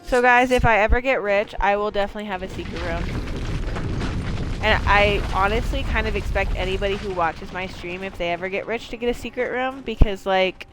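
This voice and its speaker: Rambly sweary woman streamer from maine, mid pitch, chatting while she plays. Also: fairly quick, noisy background. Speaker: game streamer. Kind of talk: casual let's-play chat.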